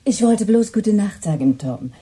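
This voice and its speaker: A husky, very mellow wife. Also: female voice, mid-range voice, lively intonation, a little slow. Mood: gracious, relaxed. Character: gracious, sociable wife saying goodnight. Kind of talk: radio play acting.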